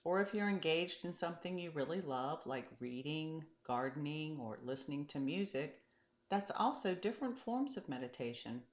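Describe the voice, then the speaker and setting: Woman voicing a calm, low-voiced meditation guide, roomy sound. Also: normal speed, muffled recording. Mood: reassuring, instructive. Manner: gentle, reassuring, instructive. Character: calm, encouraging, patient meditation teacher and guide. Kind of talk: storybook character dialogue.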